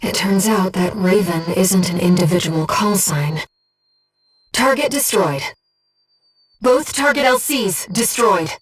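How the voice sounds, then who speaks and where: An actress playing a gentle, devoted female voice in a pilot's head, a composed and precise mission guide in a video game. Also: medium pitch, slow, husky, distant mic, faint music behind. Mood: urgent. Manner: composed, precise, earnest delivery. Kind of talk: video game voice acting, tactical briefing.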